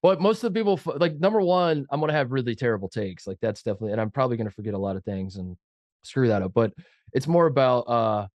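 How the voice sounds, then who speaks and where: A man's voice: mid-range voice, fast talker, a little mellow, no echo. A man co-hosting a sports podcast while fighting a head cold, sounding nasal as he rambles and jokes at his own expense. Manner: rambling, joking, self-mocking. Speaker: podcast co-host. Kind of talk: casual sports chat.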